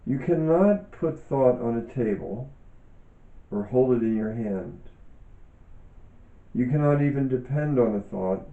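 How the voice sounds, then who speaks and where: Slow, very dark-voiced man reading aloud. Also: medium pitch. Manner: deliberate, frequent pauses between short phrases. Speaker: author and yoga teacher reading from own book. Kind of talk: measured reading aloud, reflective self-help teaching. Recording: dull, echoey room recording.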